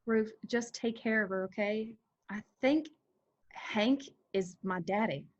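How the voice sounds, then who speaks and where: Woman playing a guarded runaway daughter in a drama read, understated, medium pitch. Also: slow, highs cut off, natural voice. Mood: guarded, pleading. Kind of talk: screenplay table read acting.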